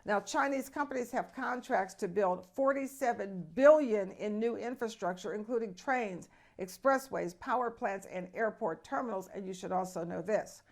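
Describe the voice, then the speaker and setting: A woman's voice: mid-range voice, moderate pace, hardly any pauses, a little mellow. A woman hosting a news show, reading the stories with chatty, emphatic asides to viewers. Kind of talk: news commentary.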